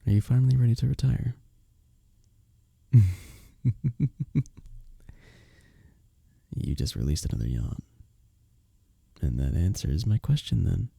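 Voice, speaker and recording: A man playing a courteous, smitten young village innkeeper in an intimate romantic fantasy roleplay, speaking softly and breathily with formal, bashful phrasing. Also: lowish voice, sing-song, moderate pace, gravelly, long pauses, slightly rough, no echo, clean studio sound, heavily acted. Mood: gently attentive.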